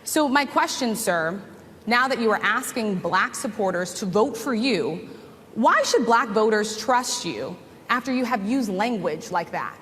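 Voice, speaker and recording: A woman with an American accent putting pointed, measured questions in a formal interview. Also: fairly high pitch, animated, a bit bright. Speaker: journalist, interviewer. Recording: echoey hall, background noise.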